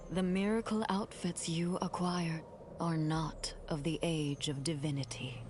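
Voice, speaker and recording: Divine guide, low pitch, unhurried, hushed, breathy, grave, oracular, fantasy game, prominent music behind. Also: female voice. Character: solemn mysterious divine woman guiding a young heroine. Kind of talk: scripted fantasy game cutscene acting.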